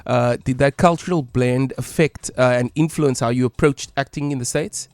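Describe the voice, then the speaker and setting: A man's voice: medium pitch, normal speed, darkish tone, no echo. A South African man co-hosting a radio interview in a studio, upbeat and peppered with fillers. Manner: upbeat, filler-heavy introductions. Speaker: radio co-host.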